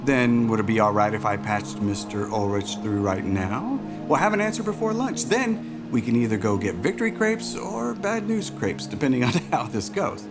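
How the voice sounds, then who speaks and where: A man's voice: medium pitch, very animated, normal speed, few pauses, loud music behind the voice. An actor playing a chummy, persuasive aerospace executive interviewer in a cinematic audio drama, with a chatty, polished salesman manner. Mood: upbeat, chummy. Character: smooth, glib male aerospace executive recruiter, chummy and persuasive.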